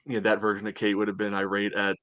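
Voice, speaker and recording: A man's voice: lowish voice, monotone, fast, a bit bright. A man co-hosting a podcast, chatting casually as a sports fan.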